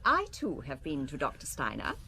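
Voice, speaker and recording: An actress playing a cold, elegant wife in an audio drama, speaking precisely with haughty contempt and pointed questions. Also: female voice, very deep voice, wildly swooping pitch, moderate pace, noisy background, exaggerated voice. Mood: cool, pointed.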